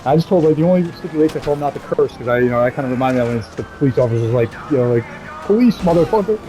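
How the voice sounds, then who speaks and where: A man's voice: medium pitch, expressive, rapid-fire, rough recording. A man telling stories casually over gameplay in a rambling, profane, amused way.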